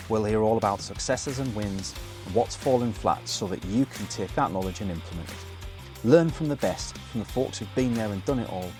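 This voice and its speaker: A man with a somewhat low voice delivers a polished, upbeat podcast intro. Soft music plays faintly beneath him. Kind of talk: scripted show intro.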